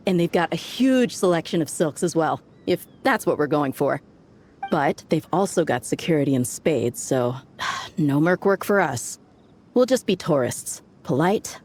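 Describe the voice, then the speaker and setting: A woman's voice: deep voice, a little mellow, slight background noise. A woman playing an easygoing, casual mercenary band leader in a video game, with breezy informal speech. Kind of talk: video game character dialogue.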